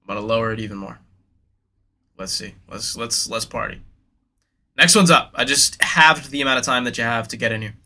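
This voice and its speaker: Young guy hosting a card break stream, kinda nasal, super bright voice, hyping up chat. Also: mid-range voice, fairly quick, long pauses. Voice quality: slightly nasal. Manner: hyped, slangy, talks straight to viewers. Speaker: live card break host. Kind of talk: casual livestream chatter.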